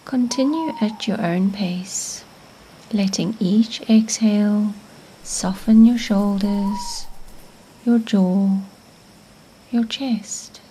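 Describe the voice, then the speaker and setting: A woman narrating a guided meditation in a gentle, soothing, hushed manner, with phrase endings fading toward a whisper. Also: medium pitch, very slow, a little hiss or hum, low-quality recording.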